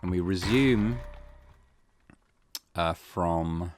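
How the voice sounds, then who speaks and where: A man's voice: deep voice, very slow, faint music behind. A man hosting a game playthrough, explaining and thinking aloud, often correcting himself mid-sentence. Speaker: let's play host. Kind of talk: game commentary.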